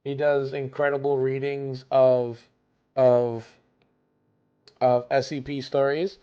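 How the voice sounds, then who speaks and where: A man's voice: medium pitch, level tone, slow pace, clear, resonant tone, lo-fi sound. A man streaming games who is losing his voice, chatting casually with viewers and rambling with many fillers. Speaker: gaming streamer.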